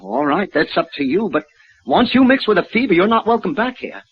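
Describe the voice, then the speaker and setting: A man's voice: high voice, big pitch swings, fairly quick, creaky, heavily acted. A man playing a stubborn, fearful, protective townsman in a 1950s western radio drama. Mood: defensive, threatening. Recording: muffled vintage radio recording.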